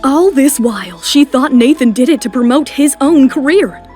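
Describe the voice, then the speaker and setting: A woman's voice: high voice, lively intonation, moderate pace, a little mellow. A woman reading dramatic scripted narration as the narrator of an audio drama. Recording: music behind the voice.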